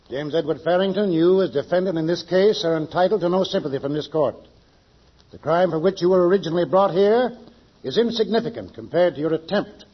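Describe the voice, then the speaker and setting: Through a muffled old radio recording, an upright, severe judge. He declaims formally in a raised, husky voice with weighty pomposity. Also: on the high side. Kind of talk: 1940s-50s radio drama acting.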